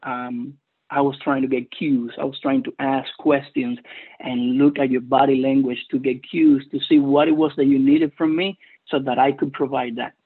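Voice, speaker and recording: A man from Cuba with a Cuban Spanish accent, Spanish his first language, giving an earnest personal testimony at a recovery meeting with many hesitant pauses. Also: mid-range voice, little pitch movement, husky. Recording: tinny phone-line audio.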